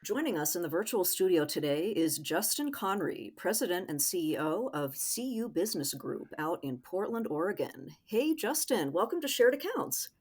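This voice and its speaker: A woman's voice: on the low side, big pitch swings, normal speed, few pauses, noisy background. A woman co-hosting a podcast in a chatty, informal, upbeat way. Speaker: podcast co-host. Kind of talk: casual podcast chat and interviewing.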